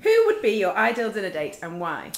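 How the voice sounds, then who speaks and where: A woman interviewing a quiz team, asking each member brisk, polite questions. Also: medium pitch, huge pitch swings, creaky, a little hiss or hum. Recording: echoey room.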